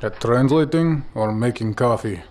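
A man acting as a seasoned secret agent in a video game. He is terse and cool, with a dry, unhurried delivery at a medium pitch. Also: a little mellow, close to an everyday voice. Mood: dry, skeptical.

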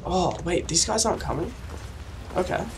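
Gravelly New Zealand gamer with a cold. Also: male voice, high-pitched, moderate pace. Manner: excitable, sweary, rambling with fillers. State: has a cold. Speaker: let's play gamer. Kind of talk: casual gameplay commentary. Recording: distant mic with noisy background.

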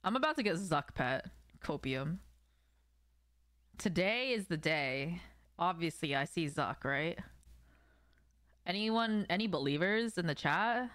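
A woman's voice: lowish voice, lively intonation, takes it slowly, pauses often, fairly bright tone, dry recording, studio quality. A young adult woman streaming a game, chatting casually and playfully with her viewers in slangy speech. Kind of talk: casual live stream chat while gaming. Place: small room at home, indoors.

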